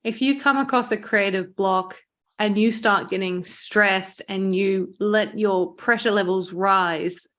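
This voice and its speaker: A woman's voice: mid-range voice, fairly even intonation, takes it slowly, fairly bright tone. A woman hosting a podcast, chatting conversationally in an encouraging, affirming way. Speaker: podcast host, marketing agency owner. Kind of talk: conversational interview chat.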